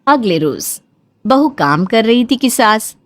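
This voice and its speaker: A woman's voice: a little high, sing-song, slow pace, no room sound. Female storyteller, scripted narration, close dry sound.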